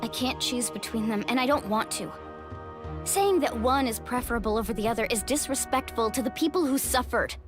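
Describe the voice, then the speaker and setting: Woman as an earnest young female warrior, higher-pitched. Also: animated, brisk, natural voice. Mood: pained, firm. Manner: earnest, heartfelt. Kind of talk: video game character acting. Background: music.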